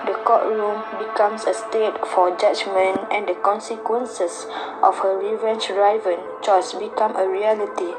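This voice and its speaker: A woman's voice: mid-range voice, steady tone, measured pace, few pauses, music in the background, low-quality recording. A woman reading scripted radio drama narration in a dramatic storytelling manner. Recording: clear background noise.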